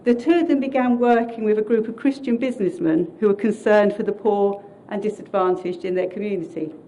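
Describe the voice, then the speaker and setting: A woman who is a Salvation Army minister, giving a friendly presentation to a council meeting from prepared notes in her loud voice. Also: mid-range voice, brisk.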